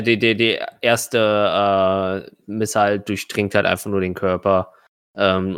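A man's voice: deep voice, a bit bright, clean tone, no room sound, clean studio sound. A man narrating tabletop combat as game master, hesitant and often correcting himself.

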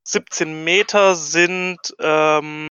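Bright, sharp-voiced man teasing fellow players. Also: fairly high pitch, fairly even intonation, extremely slow, clean tone, no echo, lo-fi sound. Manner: dry, teasing. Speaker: tabletop game player. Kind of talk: casual game banter.

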